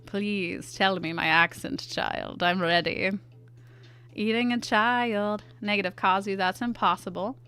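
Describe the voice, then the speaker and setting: A woman with a stuffy nose, chatting casually with her viewers in quick asides while she draws on stream. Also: mid-range voice, fairly even intonation, bright, forceful tone, smooth. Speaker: art streamer. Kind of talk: casual chat while drawing.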